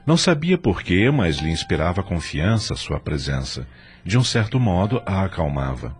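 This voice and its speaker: Deep, expressive Brazilian male narrator. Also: moderate pace. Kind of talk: dramatic scripted narration. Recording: music under the voice.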